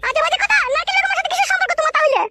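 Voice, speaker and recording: A woman's voice: very high voice, racing, very noisy. A woman voicing a brash, nagging middle-aged village mother in a bawdy cartoon. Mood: angry accusing. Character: shrill, foul-mouthed, scolding middle-aged village mother. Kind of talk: bawdy cartoon comedy acting.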